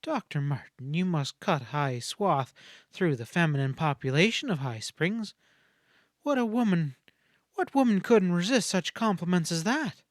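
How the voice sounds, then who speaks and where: A man's voice: high voice, animated, unhurried, no echo, studio quality. A man voicing a plucky, teasing young frontier woman, plain-spoken, in a Western audiobook. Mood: admiring, playful.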